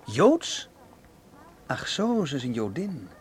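A man's voice: mid-range voice, sing-song, slow pace, husky, heavily acted. An actor playing a brash, scornful young man about town in a radio play, goading and condescending. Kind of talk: Dutch radio play acting.

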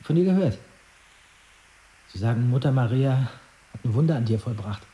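A man's voice: medium pitch, expressive, normal speed, dark tone, lightly acted. An actor playing a fearless, frank newcomer boy in a radio drama, talking directly and casually in short sentences. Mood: frank, curious. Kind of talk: naturalistic radio drama acting. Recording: roomy, echoing radio play scene.

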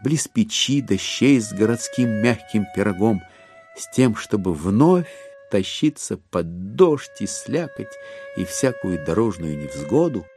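Low-voiced male narrator over violin music. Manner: measured, expressive storytelling with deliberate pauses. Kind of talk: literary scripted narration in an audio drama.

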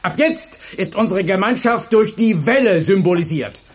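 An actor playing a charismatic, authoritative high school teacher who instructs and rallies a class in a radio drama. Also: male voice, expressive, normal speed, slightly rough. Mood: fervent approval. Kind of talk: German radio drama acting.

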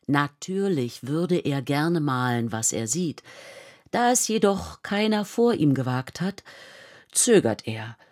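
A woman narrating a historical radio play in a measured, composed, literary manner. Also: low voice, expressive, darkish tone, heavily acted.

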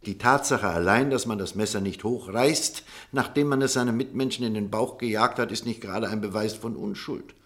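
A man's voice: lowish voice, a little fast, few pauses, fairly bright tone. A man playing a blunt, contrary physician who argues emphatically in a radio play. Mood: dryly sarcastic. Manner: emphatic, argumentative. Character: opinionated, blunt male physician, impatient and contrary. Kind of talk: German radio play acting.

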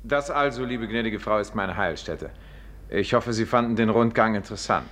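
Low-voiced, courteous sanatorium doctor played by a man. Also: fairly bright tone, natural voice. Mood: reassuring. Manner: courteous, measured, reassuring. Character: polite, evasive, smooth male sanatorium director and doctor. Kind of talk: 1950s German radio play acting.

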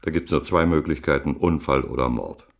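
A man playing a sardonic, knowing informant in a radio crime drama, with a wry, cryptic, unhurried way of talking. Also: extremely low pitch, gravelly. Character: sardonic, knowing male informant with a shady past.

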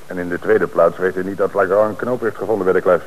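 A man plays a shrewd police commissioner in an old radio play, his voice very low and his delivery measured. The recording sounds dull, with a faint hiss. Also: steady tone, close to an everyday voice. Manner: measured, authoritative. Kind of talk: radio drama acting.

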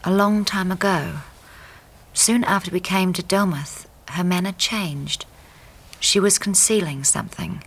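Radio drama: troubled young wife, lowish breathy voice, earnest, well-spoken, polite but persistent. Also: female voice, steady tone, unhurried. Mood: haunted reading.

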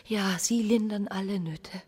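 An actress playing an unhappy, yearning young provincial wife in a radio drama, with a melancholy, inward manner. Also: female voice, mid-range voice, a little slow, darkish tone, slightly rough, dry recording. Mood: suffering, withdrawn. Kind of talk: German radio drama acting.

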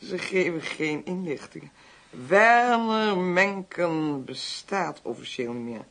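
An actress playing a middle-aged alcoholic mother in a radio drama, halting and slurred, bitter and lonely, with groans and repeats. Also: lowish voice, lively intonation, a bit bright, full character voice. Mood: despairing. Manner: halting, repetitive, groaning and sighing.

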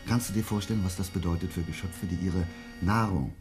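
A man playing an agitated, earnest scientist in a German radio drama, speaking urgently and haltingly. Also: deep voice, a little mellow, faint music behind, natural voice. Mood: alarmed. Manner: hesitant, halting, then urgent. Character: agitated, earnest male scientist, doubting officials.

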